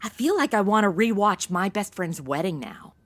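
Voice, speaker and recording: A woman's voice: medium pitch, very animated, normal speed. A woman playing a goofy, slangy modern sidekick with casual, sassy quips in a comedy audio drama. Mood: sassy, amused. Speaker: host and co-lead.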